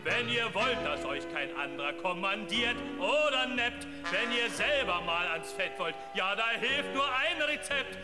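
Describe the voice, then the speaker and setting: A rousing, cynical balladeer. He sings and declaims slowly in a high voice over musical accompaniment, with long hall reverb. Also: lively intonation, over loud music. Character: rousing, cynical male gold-rush balladeer. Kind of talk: theatrical sung ballad with declaimed verses. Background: loud musical accompaniment.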